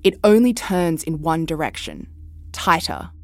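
Lively, low-voiced woman narrating documentary. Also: no echo. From Australia. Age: 30s. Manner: measured, reflective. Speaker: correspondent and podcast host.

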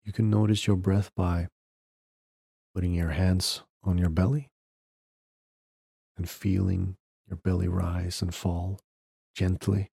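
A man guides a meditation in a very low, mellow voice. He speaks slowly, leaving long pauses between short, gentle instructions. The sound is dry and close, with no room around it. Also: little pitch movement, gravelly, husky, dry recording. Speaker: podcast host guiding a meditation.

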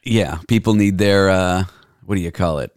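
A man's voice: low-pitched, moderate pace, smooth, dry recording, clean studio sound. A man hosting a podcast in casual chat, playful and jokey, recorded over a remote call. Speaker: podcast host.

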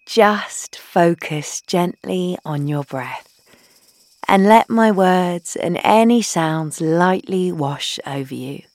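A woman leading a guided meditation in a soothing, gentle, unhurried way, leaving long pauses between phrases and softening into breathy endings. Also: fairly low pitch, no room sound. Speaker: guided meditation leader, founder.

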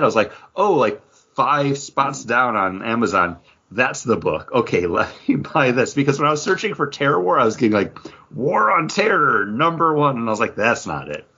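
A man's voice: medium pitch, sharp tone, breathy edge. A man co-hosting a podcast, talking casually and conversationally. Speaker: podcast co-host. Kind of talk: casual chat. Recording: dull lo-fi sound.

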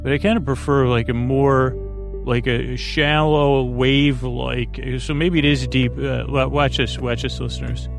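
A man with a creaky voice hosting a sleep podcast, meandering in a soothing, gently whimsical way with stammered false starts. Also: mid-range voice, flat delivery, measured pace, music in the background. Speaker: podcast host. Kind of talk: rambling sleep podcast monologue.

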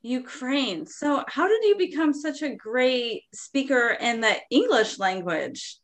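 A woman's voice: sits high, animated, slow. Upbeat woman podcast host. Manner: upbeat and enthusiastic, gushing introductions. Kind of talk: podcast intro and interview.

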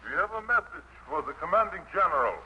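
A man's voice: sits high, expressive, fast talker. A man playing a stiff Nazi officer in a 1950s radio drama, speaking formally with a German accent. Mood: stern. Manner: formal, clipped. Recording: muffled noisy old radio broadcast.

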